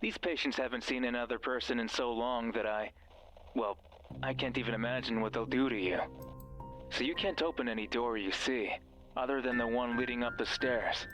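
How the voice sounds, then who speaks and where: A man's voice: mid-range voice, moderate pace, fairly bright tone, soft background music, lightly acted, low-quality recording. An actor playing a mysterious, bossy male guide in a horror game, stern, ominous and condescending. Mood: ominous, commanding. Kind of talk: horror visual novel voice acting.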